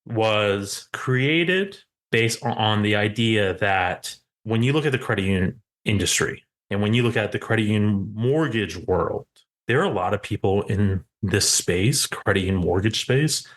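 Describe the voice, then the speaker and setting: A male podcast host with a fairly low voice, chatting loosely as he sets up the episode. He repeats himself, ends thoughts with "right?" and trades jokes with his guest. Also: lively intonation, normal speed, fairly bright tone. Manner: rambling, repeats "right?", joking. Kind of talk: conversational podcast interview.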